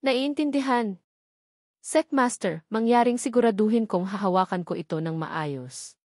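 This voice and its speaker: A woman's voice: medium pitch, a little slow, a little mellow, dry recording, studio quality, close to an everyday voice. A woman narrator voicing a loyal male sect general in a storybook, formal and respectful.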